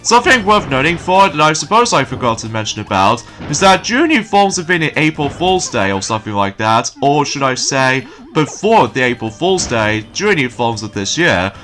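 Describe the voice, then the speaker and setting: Man, let's play commentary, rambling, slightly croaky, bright voice, faint music behind. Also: medium pitch, very animated, normal speed, hardly any pauses. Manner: rambling, repeats filler phrases. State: slightly croaky from waking early. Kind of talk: casual gameplay commentary.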